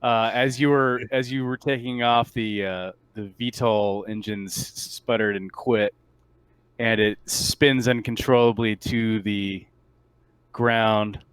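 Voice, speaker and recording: A man narrating a tabletop roleplaying game as game master in a halting, conversational way. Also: fairly low pitch, fairly even intonation, a bit bright, clear, resonant tone, dry recording.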